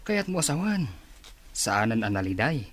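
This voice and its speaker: Radio drama office superior: inquisitive, Ilocano accent, mid-pitched voice. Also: male voice, sing-song, moderate pace, fairly bright tone. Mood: probing. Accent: Ilocano Filipino.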